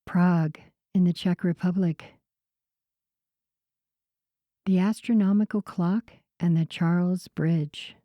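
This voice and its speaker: A woman guiding a sleep meditation in a soothing, unhurried way, with a soft voice that turns breathy at the ends of phrases. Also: lowish voice, steady tone, dry recording. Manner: soothing, unhurried, gentle pauses. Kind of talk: slow guided sleep meditation narration.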